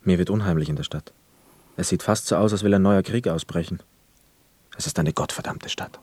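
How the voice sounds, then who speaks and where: An actor playing a reflective, wary man back from war, narrating a German radio play in measured literary phrases. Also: low voice, a little mellow, no room sound. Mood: uneasy. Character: young war returnee, reflective, wary narrator of hotel life.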